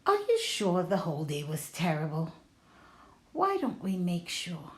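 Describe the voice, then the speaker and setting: A woman voicing a patient, gently coaxing mother in a storybook, encouraging and a little mysterious. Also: fairly low pitch, wildly swooping pitch, unhurried. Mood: concerned. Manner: encouraging, reassuring.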